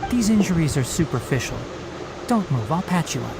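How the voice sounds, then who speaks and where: A man voicing a calm, clever young forest ranger in a video game, measured and precise. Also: on the high side, vocal fry. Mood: clinical. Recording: game audio with noise.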